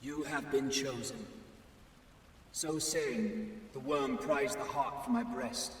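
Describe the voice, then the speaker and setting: A man voicing a grieving male warrior sworn to vengeance, speaking gravely and mournfully in a game. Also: mid-range voice, slow, natural voice. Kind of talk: game voice acting. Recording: echoey hall from far off, faint noise.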